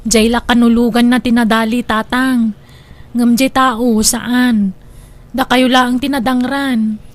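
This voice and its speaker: A woman's voice: fairly high pitch, fairly even intonation, a little slow, close to an everyday voice. A woman with an Ilocano accent playing a fretful, fussing grown daughter in an amateur comedy drama. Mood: concerned. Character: fretful, scolding grown daughter of old father.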